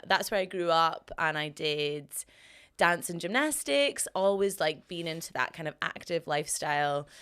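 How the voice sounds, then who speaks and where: A young woman with a Scottish Highlands accent, chatting as a podcast guest, laughing often and filling gaps with ums and likes. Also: a bit bright, clear, resonant tone. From Scotland. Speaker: guest, strength coach. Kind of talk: casual podcast chat.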